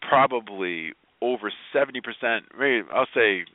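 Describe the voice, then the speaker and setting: A man giving motivational advice as a radio interview guest, speaking in an animated, emphatic way with repeated phrases. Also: mid-range voice, normal speed, no room sound. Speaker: guest, positivity speaker and blogger. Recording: thin phone-like line.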